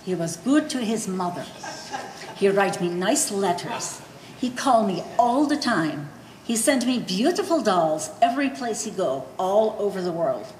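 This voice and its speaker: A woman's voice: mid-range voice, expressive, a little slow, a little mellow, lightly acted. A woman playing a blunt, scornful old Polish immigrant mother in a stage play, speaking broken English with a Polish accent. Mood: proud, fond. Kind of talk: one-act stage play performance. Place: gallery stage performance, indoors. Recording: echoey hall with faint noise.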